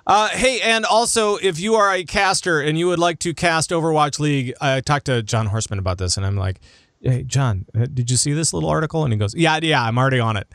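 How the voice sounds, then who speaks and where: A man hosting a casual gaming podcast, chatting in an animated, jokey way and often interrupting himself. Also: fairly high pitch, brisk, no echo, studio quality. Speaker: podcast host.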